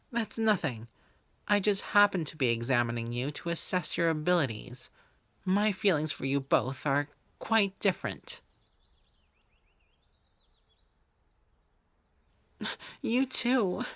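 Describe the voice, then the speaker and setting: A woman's voice: lowish voice, a bit bright, no room sound, full character voice. A woman voicing a haughty, prim elf wizard woman. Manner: prim, aloof and sighing. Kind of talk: audio roleplay acting.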